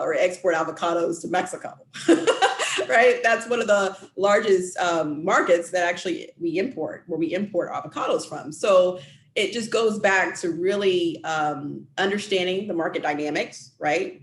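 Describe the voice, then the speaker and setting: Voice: mid-range voice, moderate pace, slight background noise. Upbeat speaker in an echoey room. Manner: upbeat, repeats "right?". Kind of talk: explanatory chat. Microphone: video call.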